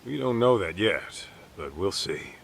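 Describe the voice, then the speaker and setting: An actor playing an easygoing, plain-spoken middle-aged private detective in an English video game dub. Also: male voice, low voice, lively intonation, unhurried, creaky, slight background noise, full character voice. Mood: matter-of-fact.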